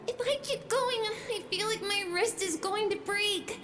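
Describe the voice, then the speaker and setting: A woman's voice: extremely high pitch, unhurried, echoey room, over music. A woman voicing an exhausted young girl apprentice in a game, small and pleading.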